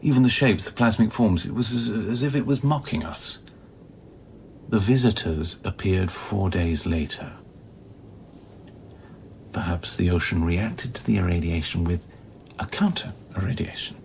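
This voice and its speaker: An actor in a radio play, playing a bitter, volatile space-station scientist who philosophizes intensely and haltingly, dropping at times toward a breathy near-whisper. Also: male voice, low-pitched, a little hiss or hum. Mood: grave, uneasy. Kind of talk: dramatic radio play acting.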